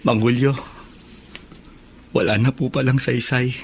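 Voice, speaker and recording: Grieving, bitter ex-convict younger brother male actor, mid-pitched, halting Filipino radio-drama delivery. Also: steady tone, a little hiss or hum, close to an everyday voice. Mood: bitter, dejected. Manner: halting, emotional delivery with repeated words.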